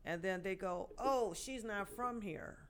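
A woman in her sixties, a guest poet, telling her life story in a podcast interview with many pauses and restarts. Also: medium pitch, slight background noise. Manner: halting, many fillers and restarts.

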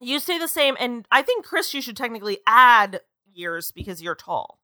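A woman in her twenties co-hosting a casual podcast, leading the discussion as a lively storyteller. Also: a little high, normal speed, no room sound. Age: 20s. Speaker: podcast co-host.

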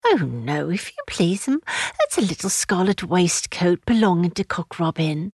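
A woman's voice: lowish voice, big pitch swings, normal speed, darkish tone, slightly rough, no room sound, studio quality, full character voice. A woman voicing a stout, anxious hedgehog washerwoman from a storybook, polite, fussy and deferential.

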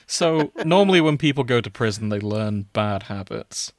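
Lively man chatting casually. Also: fairly low pitch, normal speed. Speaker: podcast host. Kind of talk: casual comic chat.

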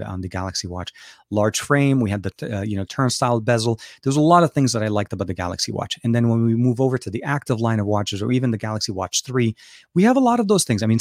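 Man from Lebanon, middle-aged, livestream host, rambling delivery full of fillers, gravelly tone. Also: lowish voice, no room sound, clean studio sound. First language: Arabic. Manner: rambling with many fillers and restarts. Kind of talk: casual live Q&A.

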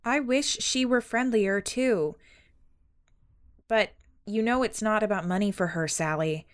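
A woman's voice: medium pitch, a little slow, clear, resonant tone, close to an everyday voice. Woman playing an earnest, polite young woman. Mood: gently defensive. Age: young adult. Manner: hesitant, gently defensive. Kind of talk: audio drama acting, conversational.

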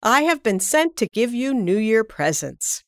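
A woman voicing a kindly but firm fairy in a storybook, patient and measured as she teaches. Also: on the high side, very animated, no echo, clean studio sound.

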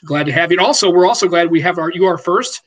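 A man's voice: high-pitched, fast. A man hosting a casual podcast, welcoming a guest and asking rambling questions with many restarts. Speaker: podcast host. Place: home room lit by daylight.

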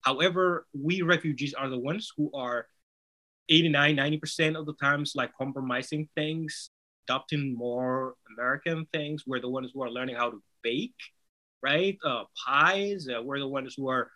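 Man, bright forceful voice, earnest, persuasive, interview guest. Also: medium pitch, normal speed, rough recording. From Somalia. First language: Somali. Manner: earnest, persuasive, rhetorical "right?" tags. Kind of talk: conversational interview answer.

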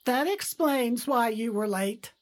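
A woman's voice: a little high, lively intonation, slow pace. A woman playing a kindly, welcoming mother in a religious audio drama, with a gracious, motherly manner. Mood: relieved. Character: kindly mother welcoming her new daughter-in-law.

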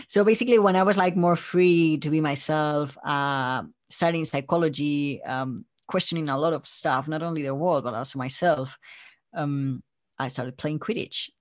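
A man's voice: on the high side, unhurried, dry recording, clean studio sound. A man from Spain with a Spanish accent, chatting casually as an interview guest. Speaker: interview guest, audio drama creator.

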